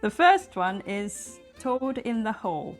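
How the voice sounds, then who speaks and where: Woman, Japanese accent, non-native English, mid-pitched voice, casual host chat for learners, soft guitar music behind. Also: sing-song, slow pace.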